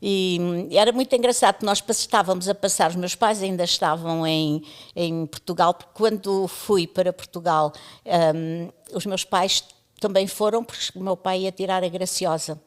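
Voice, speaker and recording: A woman's voice: on the low side, fairly even intonation, moderate pace. A woman in her seventies from East Timor, telling her life story as an interview guest, chatty and laughing often. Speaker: interview guest, radio pioneer. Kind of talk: storytelling conversation.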